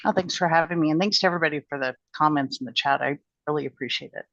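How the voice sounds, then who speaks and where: A woman's voice: low-pitched, very fast, fairly bright tone, no room sound, muffled recording. A woman guest poet chatting casually over a video call, light and laughing. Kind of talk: casual chat.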